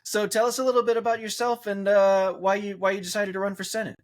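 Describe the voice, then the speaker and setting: A man's voice: high-pitched, flat delivery, fast talker. A man interviewing a candidate in a casual, upbeat and encouraging way.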